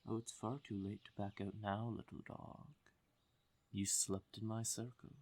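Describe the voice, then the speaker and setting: A man voicing a smug, possessive fae king in an intimate roleplay audio drama, teasing and commanding with silky menace and drawn-out pauses. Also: on the low side, flat delivery, a little mellow, natural voice. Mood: cold menace.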